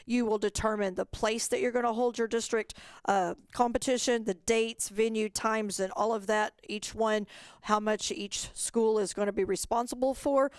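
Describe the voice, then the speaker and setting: A woman's voice: fairly high pitch, smooth, no echo. A woman presenting in a webinar as an organizer, explaining procedures informally, step by step.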